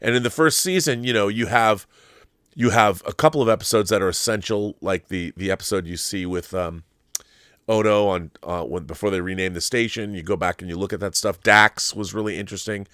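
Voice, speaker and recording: Male pop culture host, middle-aged, lowish voice, emphatic off-the-cuff monologue, close dry recording. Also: a little fast, fairly bright tone, dry recording. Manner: emphatic, repeats words for emphasis, rambling. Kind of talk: casual opinionated monologue answering viewer questions.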